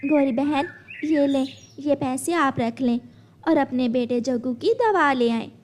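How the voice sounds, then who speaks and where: A woman voicing a kindly, helpful female bird neighbour in a cartoon story, gentle and concerned. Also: sits high, measured pace, slight background noise. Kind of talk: Hindi cartoon story voice acting.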